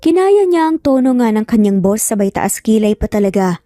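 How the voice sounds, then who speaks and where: A woman's voice: a little high, sing-song, moderate pace, dark tone, no room sound, studio quality. A woman giving a scripted, dramatic narration as a storyteller. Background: music.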